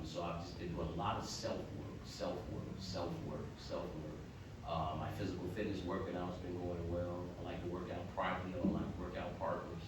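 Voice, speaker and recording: A man's voice: low-pitched, noisy background. A man speaking as a panelist, emphatic and listing points in rhythmic runs. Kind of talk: panel discussion. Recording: echoey room, mic far off.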